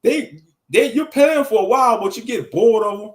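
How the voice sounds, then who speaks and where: A man's voice: high-pitched, expressive, moderate pace. A man talking casually on a livestream, rambling and preachy in a slangy way, answering the chat and laughing at his own jokes. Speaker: livestream commentator.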